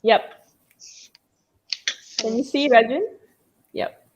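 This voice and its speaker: A woman's voice: a little high, extremely slow, dull audio, a little hiss or hum. A woman whose first language is Tamil, speaking as a communication trainer in a live online chat, explaining tips and often checking in with "okay?" and "all right?". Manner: instructive, peppers speech with "all right?" and "okay?".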